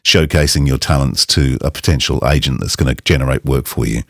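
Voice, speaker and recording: Male, 60s, voiceover pro with an extremely low voice, plain-spoken and informal, dry close studio sound. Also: fairly quick, gravelly, fairly bright tone, no echo. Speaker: voiceover artist and demo coach. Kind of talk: conversational explainer to camera.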